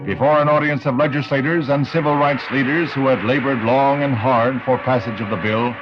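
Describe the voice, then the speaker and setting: A man's voice: medium pitch, steady tone, a little fast. An American man reading scripted 1960s newsreel narration in a formal, declamatory manner. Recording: dull 1960s newsreel soundtrack with music. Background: music.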